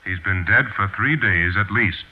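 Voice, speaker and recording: A man's voice: fairly low pitch, normal speed, breathy edge. An actor playing a matter-of-fact male doctor in a 1940s radio drama. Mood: puzzled, firm. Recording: thin, tinny 1940s radio recording.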